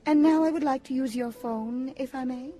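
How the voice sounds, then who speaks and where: A woman's voice: high voice, normal speed, dark tone, soft background music, natural voice. A woman playing a vain, haughty stage actress in an audio drama, grand and condescending in manner. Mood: imperious, self-satisfied.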